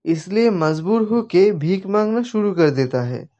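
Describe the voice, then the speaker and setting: A man reading scripted narration for an animated moral story. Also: high voice, unhurried. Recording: muffled audio with background music.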